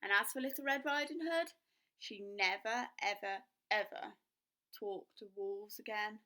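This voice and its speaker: A woman's voice: mid-range voice, a little slow, sharp tone. A woman reading a children's storybook aloud, narrating expressively with dramatic emphasis.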